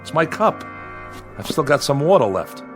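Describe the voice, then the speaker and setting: An actor playing a lonely, prickly exiled man, gruff and sardonic, in a science fiction audio drama. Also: a little high, big pitch swings, normal speed, faint music behind. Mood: wary. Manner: gruff, wry, guarded.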